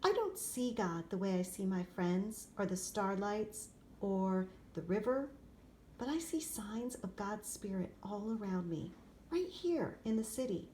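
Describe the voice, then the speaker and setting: A woman reads a picture book aloud for children. Her voice sits at a medium pitch, and she delivers the story expressively in deliberate, separated phrases. There is clear ambient noise behind her. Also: animated, dark tone. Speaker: storybook reader.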